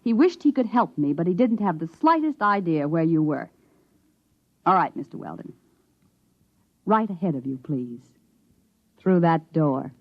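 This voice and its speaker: A cool, commanding woman scientist in a vintage radio thriller. Her speech is clipped and courteous with a threat underneath, and her pitch moves freely. The recording is muffled, with clear noise. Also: expressive, unhurried, pauses often. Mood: cool, smug. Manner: clipped, controlled, formally polite menace. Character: coolly commanding woman scientist holding a man at gunpoint. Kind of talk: 1950s radio drama acting.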